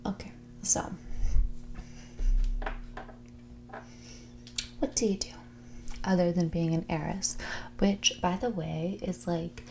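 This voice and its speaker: A woman playing a spoiled, haughty young heiress in a whispered, soft-spoken ASMR roleplay, with a condescending, drawling manner. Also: fairly low pitch, fairly even intonation, measured pace, creaky, slight background noise. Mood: haughty, bored. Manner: snobbish, condescending, drawling, filler-laden valley-girl phrasing.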